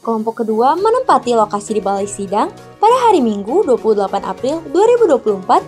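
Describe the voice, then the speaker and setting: A woman reporter reading a scripted campus news report with lively emphasis. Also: a little high, fast talker, soft background music.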